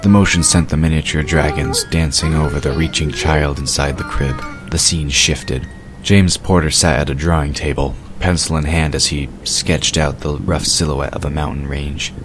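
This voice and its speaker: A man's voice: rumbling low, steady tone, moderate pace. A man giving scripted narration for a fantasy audio drama. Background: music.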